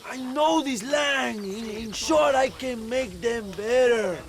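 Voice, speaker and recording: An actor playing a grandiose, excitable revolutionary leader with a Mexican accent and theatrical delivery in a video game. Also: male voice, very high-pitched, slow pace, crowd noise, heavily acted. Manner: theatrical, bombastic, with stammered repetitions. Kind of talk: video game cutscene acting.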